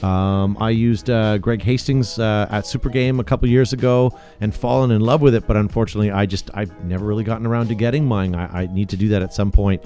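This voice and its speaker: A man hosting a casual panel chat, upbeat and halting, reading viewer questions aloud. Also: on the low side, mellow, faint music behind. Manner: enthusiastic, halting restarts. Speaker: podcast host reading live chat questions.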